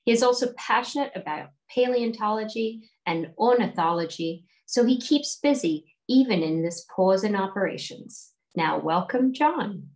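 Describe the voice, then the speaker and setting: Woman in her 30s, medium pitch, hosting an interview on a video call. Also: expressive, moderate pace, few pauses, poor audio. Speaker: interview host, event director. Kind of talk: scripted introduction, then friendly interview questions. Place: living room at home, indoors.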